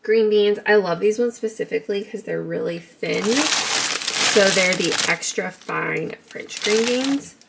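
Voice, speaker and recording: Chatty young woman with a gravelly edge. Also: medium pitch, measured pace, heavy background noise. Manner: chatty, upbeat, talks in a sing-song baby voice to her son. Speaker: vlogger. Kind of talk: casual grocery haul vlog. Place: home kitchen.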